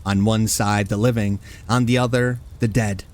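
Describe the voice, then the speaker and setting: A man hosting a horror story show, delivering scripted narration in a measured, solemn and portentous manner. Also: fairly low pitch, steady tone, a little mellow. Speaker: host introducing horror radio plays.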